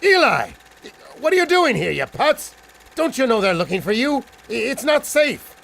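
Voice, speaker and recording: A man's voice: very high voice, big pitch swings, normal speed, a bit bright, full character voice. A man playing an anxious, fatherly older studio manager who frets and pleads in old-fashioned slang. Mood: alarmed. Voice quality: creaky. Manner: fretful, pleading, Yiddish-flavoured slang. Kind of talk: old-time adventure serial acting.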